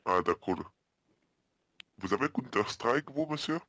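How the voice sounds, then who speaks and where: A man playing an earnest, muddled hotel guest on a prank phone call, hesitant, repetitive and politely deadpan. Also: medium pitch, a little slow, no room sound. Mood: deadpan, insistent. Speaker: prank caller.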